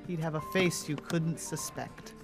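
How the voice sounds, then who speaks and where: A man's voice: a little high, a little slow, gravelly, faint music behind. An actor playing a mafia hitman who poses as a rookie police officer in a radio drama, slyly calculating. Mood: probing, calculating. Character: mafia hitman posing as nervous rookie cop, slyly menacing.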